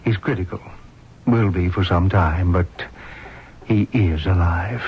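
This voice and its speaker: An actor playing a determined, commanding emergency room doctor in a terse manner for a 1970s radio drama. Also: male voice, low voice, measured pace, background noise. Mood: grave, reassuring. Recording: dull vintage radio broadcast.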